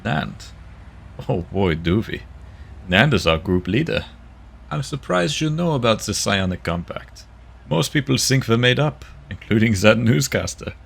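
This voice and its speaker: A man playing a guarded, matter-of-fact investigator in a sci-fi audio drama, with a dry, measured delivery. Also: fairly low pitch, animated, gravelly, fairly bright tone, breathy edge, heavily acted. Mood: casual, friendly.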